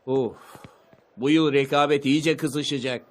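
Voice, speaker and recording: A man's voice: medium pitch, fairly even intonation, takes it slowly, slight background noise, lightly acted. A man playing a boastful, laid-back young car fanatic in a Turkish-dubbed cartoon. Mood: grumbling.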